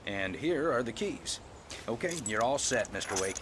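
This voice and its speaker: An actor playing a friendly, easygoing park ranger in a video game cutscene. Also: male voice, mid-range voice, animated, moderate pace, background noise, close to an everyday voice. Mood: friendly, helpful. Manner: affable, easygoing.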